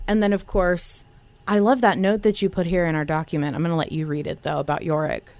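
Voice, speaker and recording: A woman's voice: on the low side, flat delivery, fairly quick, gravelly, darkish tone, no echo. Enthusiastic woman in casual podcast chat. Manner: informal, enthusiastic, sweary. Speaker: podcast co-host. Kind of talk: casual podcast chat about an audio drama.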